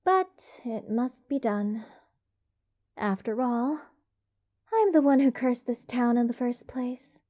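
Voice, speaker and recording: A woman performs a curse-loving lady mage in an ASMR fantasy roleplay, sweet and more than a little unhinged. Her voice sits fairly high with a very mellow, soft tone. She rambles at a very leisurely pace, wry and soothing, full of fond endearments. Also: animated, slow pace. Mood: wry, musing.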